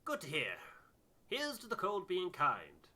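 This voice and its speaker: A man voicing a genial, courteous older gentleman with hearty, old-fashioned manners in a video game. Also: high-pitched, huge pitch swings, measured pace, pitched above the performer's own voice, full character voice. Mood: hearty, fond. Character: genial older gentleman, proud father of grown son. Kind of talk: theatrical game dialogue reading.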